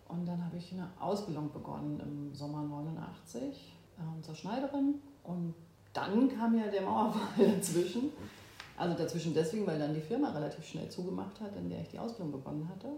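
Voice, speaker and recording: A woman in her fifties from Berlin, a guest in an interview, who talks thoughtfully with pauses and fillers. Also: lowish voice, gravelly, warm tone, a little hiss or hum. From Berlin, Germany. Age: 50s. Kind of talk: oral history interview. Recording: echoey room.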